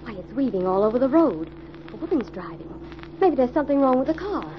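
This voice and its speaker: An earnest young American assistant in a vintage radio mystery, anxious and concerned. Her voice is high and swoops expressively. The old recording is dull and clearly noisy. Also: big pitch swings, fairly quick. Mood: curious. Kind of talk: 1940s radio acting.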